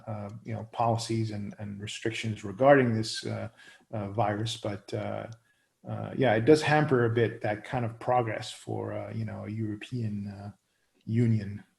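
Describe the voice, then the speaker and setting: A man in his thirties, raised in the Netherlands, answering interview questions in a hesitant, conversational way with many pauses to search for words. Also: fairly low pitch, flat delivery, creaky, darkish tone.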